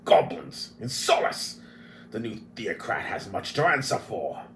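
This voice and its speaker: A man voicing a gruff, hot-tempered old male dwarf who barks his lines loudly. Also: mid-range voice, very animated, unhurried, reverberant, a little hiss or hum. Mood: defiant. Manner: loud, roaring and gruff.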